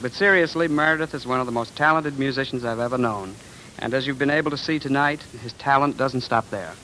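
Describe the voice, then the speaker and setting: A man's voice: mid-range voice, a little fast, sharp tone, a little hiss or hum, low-quality recording. A man giving scripted, conversational remarks as a guest on a vintage 1940s radio broadcast. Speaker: radio orchestra conductor, guest. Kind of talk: scripted radio banter and tribute.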